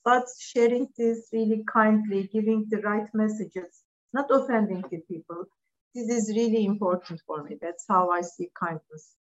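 A woman's voice: medium pitch, moderate pace, muffled recording. A middle-aged Turkish woman speaking English as a second language, answering podcast interview questions in an earnest, direct way with some restarts. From Turkey. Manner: earnest, direct, non-native phrasing with restarts. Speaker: interview guest, business leader.

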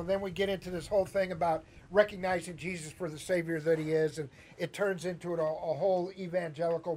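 A man's voice: sits high, darkish tone, a little hiss or hum. A man from Chicago with a Chicago accent, preaching a sermon as pastor in a conversational, teasing way and asking the congregation questions. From Chicago, Midwest US. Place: church sanctuary.

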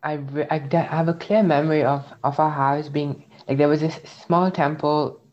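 A man's voice: on the high side, steady tone, normal speed, a little mellow, lo-fi sound. A young man from India, raised in the UAE, telling his personal story in a thoughtful, reflective way as an interview guest. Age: young adult. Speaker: interview guest, graduate student. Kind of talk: personal testimony in conversation.

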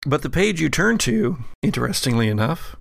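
A man narrating a horror tabletop game as game master, in a measured, descriptive storytelling manner. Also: fairly high pitch, big pitch swings, creaky, no echo.

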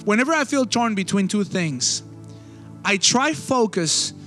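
A young male pastor with a high, bright, ringing voice preaches in a conversational way, dropping quick asides. His voice carries a long hall reverb over soft music behind him. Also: animated. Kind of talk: sermon.

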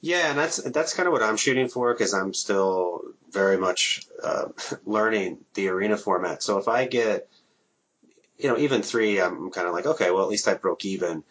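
Low husky guy hosting a chill interview from home, dull lo-fi sound. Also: moderate pace. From Minnesota. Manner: relaxed, hesitant, many ums. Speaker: podcast host. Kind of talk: casual interview.

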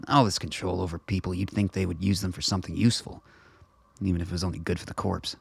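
An actor playing a calm older father on the run in an audio drama, speaking in a measured way. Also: deep voice, creaky, husky, no room sound, exaggerated voice.

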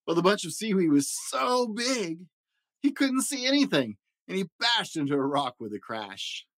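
A man narrating a children's picture book in an expressive, dramatic storytelling manner. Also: high-pitched, normal speed, dry recording. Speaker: story reader.

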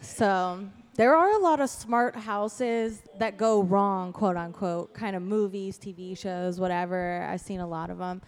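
A woman's voice: mid-range voice, measured pace, hardly any pauses, smooth. A woman hosting a podcast in casual chat, informal and slangy. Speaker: podcast host.

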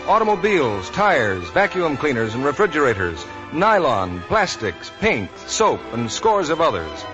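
A man's voice: on the high side, big pitch swings, unhurried. A male announcer reading a scripted public appeal in 1940s radio style. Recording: muffled 1940s radio, music and crowd behind.

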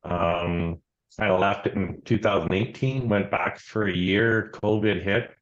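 A man's voice: deep voice, a little slow, highs cut off. A man, a company founder talking casually as an interview guest, with a loose, hesitant manner. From Canada, Alberta. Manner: relaxed, many "um"s, trails off with "and stuff".

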